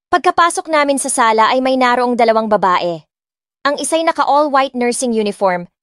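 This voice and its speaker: A woman's voice: a little high, no room sound, clean studio sound. A woman with a Filipino accent reading dramatic first-person scripted narration as an audiobook narrator. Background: soft music.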